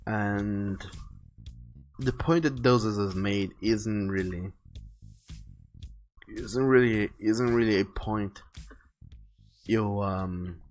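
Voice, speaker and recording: A man hosting a casual art livestream, talking to viewers in an earnest, conversational way. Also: lowish voice. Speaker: livestreaming artist and host. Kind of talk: casual livestream chat, reading viewer messages aloud and giving advice. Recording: music behind the voice. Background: faint background music.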